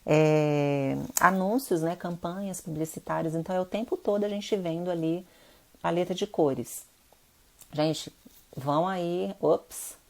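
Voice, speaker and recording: A low-pitched Brazilian woman with a gravelly edge to her voice. She sounds stuffy and nasal from rhinitis and talks in a chatty way to the viewers of her live stream. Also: unhurried. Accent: Brazilian Portuguese. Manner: chatty, addresses viewers directly. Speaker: interior designer hosting a live stream. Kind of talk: casual live-stream talk.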